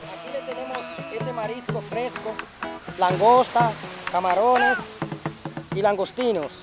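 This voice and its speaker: A man's voice: very high voice, measured pace, music in the background. A man selling seafood, calling out his wares like a street seller. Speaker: seafood vendor.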